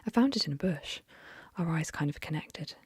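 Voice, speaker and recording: A woman's voice: deep voice, animated, moderate pace, slightly rough, no echo, clean studio sound, exaggerated voice. A woman whispering the lines of a nervous teenage boy at camp in a storybook reading. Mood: uneasy. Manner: whispered, hushed.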